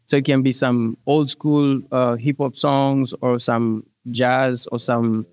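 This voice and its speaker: A man with a Kenyan accent speaks as an interview guest. His voice is clear and smooth at a middling pitch, recorded dry, and he talks in a casual, conversational way. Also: little pitch movement, takes it slowly, darkish tone, no echo. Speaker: musician guest, singer and rapper.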